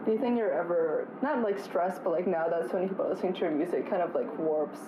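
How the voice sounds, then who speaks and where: A woman's voice: on the low side, fast talker. A woman interviewer asking casual, loosely phrased questions in an informal way. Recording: roomy echo, clear background noise.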